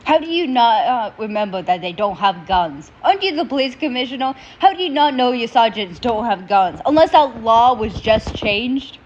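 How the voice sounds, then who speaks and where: A young woman with a stuffy nose and sore throat, hosting a casual reaction video in an animated, opinionated way. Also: a little high, normal speed, few pauses, dull audio, slight background noise. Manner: animated, opinionated ranting to viewers.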